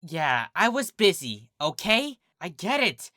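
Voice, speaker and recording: A fan reader voicing a young female unicorn filly who is flustered and defensive, speaking high and stammering. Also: big pitch swings, takes it slowly, bright, forceful tone, no echo, studio quality, heavily acted.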